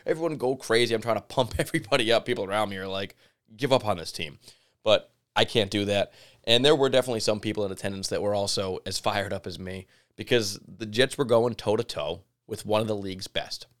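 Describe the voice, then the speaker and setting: A man hosting a casual solo podcast, chatty and excitable, his voice a little raspy from yelling. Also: fairly low pitch, fairly quick. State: slightly raspy from yelling at a game. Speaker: podcast host. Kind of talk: solo sports podcast talk.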